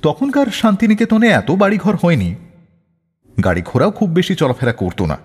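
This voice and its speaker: Expressive male radio storyteller with heavy reverb. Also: high-pitched, fairly quick, husky. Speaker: radio show host. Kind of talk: scripted storytelling narration for a music radio show. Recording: long studio reverb, faint music behind. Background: soft background music.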